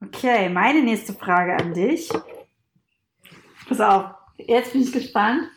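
A woman's voice: mid-range voice, takes it slowly, vocal fry, a little hiss or hum. Teasing woman podcaster, echoey room. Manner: relaxed, teasing, colloquial. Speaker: podcast co-host. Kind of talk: casual chat.

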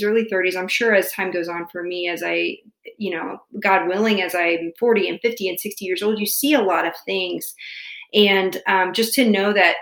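A woman's voice: mid-range voice, a bit bright, echoey room, rough recording. A woman in her thirties talking reflectively in a casual faith conversation, searching for words as she goes. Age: 30s.

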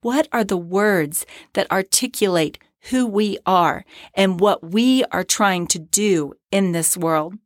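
Woman, hoarse, podcast host, earnest, encouraging, dry close sound. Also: medium pitch, slow, hardly any pauses, no room sound. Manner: earnest and encouraging, with short breathy laughs. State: hoarse, scratchy voice from a cold. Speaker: podcast host and ministry founder. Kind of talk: devotional podcast talk. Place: podcast studio.

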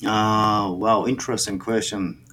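Japanese-accented male interviewee, lower pitch, hesitant and halting delivery. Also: flat delivery, bright, forceful tone, slight background noise. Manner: halting, many fillers and restarts. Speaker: sake brewer. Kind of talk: interview answers.

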